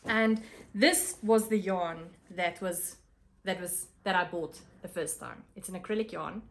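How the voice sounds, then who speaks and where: South African woman, Afrikaans first language, relaxed craft vlog chat, slow and halting, lots of ums. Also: fairly low pitch. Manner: unhurried, with many pauses and fillers. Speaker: craft vlogger. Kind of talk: casual chat to camera.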